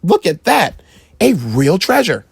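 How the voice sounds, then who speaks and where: A storyteller putting on a mother's voice, lifted higher and full of exclamation. Her pitch leaps around, gravelly and husky underneath. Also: huge pitch swings, takes it slowly, dry recording, clean studio sound, heavily acted. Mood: awed. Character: amazed, warm mother. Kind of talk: children's storybook read-aloud.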